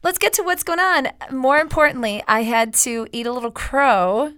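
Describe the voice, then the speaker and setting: A woman from Cleveland co-hosting a casual talk show, bubbly, her voice a little raspy from a lingering cough. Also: high voice, normal speed, a bit bright, no echo, clean studio sound. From Cleveland, United States. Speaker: radio and TV show co-host.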